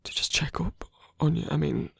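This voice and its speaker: A performer in an intimate ASMR roleplay, voicing a gentle, protective supernatural lover with a husky, breathy voice and a hesitant, earnest delivery. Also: medium pitch, normal speed, no echo, studio quality, exaggerated voice. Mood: worried, tender.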